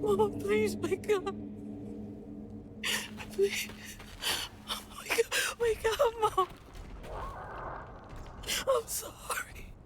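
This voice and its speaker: A woman's voice: extremely high pitch, fairly even intonation, extremely slow, long pauses, noisy background, full character voice. An actress playing a determined, distraught young woman in a dramatic video game cutscene, sounding vulnerable and defiant. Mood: pleading, tearful grief. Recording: loud music under the voice. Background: loud cinematic game music.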